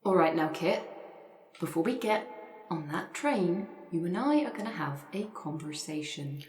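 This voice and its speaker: A woman improvising a cautious, sensible character in a roleplay, hesitant, measured and understated, in a roomy echo. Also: on the low side, expressive, a little mellow, lightly acted. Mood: firm. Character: cautious, sensible local woman keeping friends in check. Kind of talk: improvised roleplay for an actual-play podcast. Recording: roomy, echoing sound.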